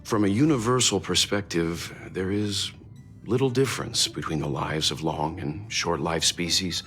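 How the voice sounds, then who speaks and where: A man voicing a calm, fatherly, thoughtful middle-aged mentor in a video game. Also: sits low, normal speed, faint music behind. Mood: reflective.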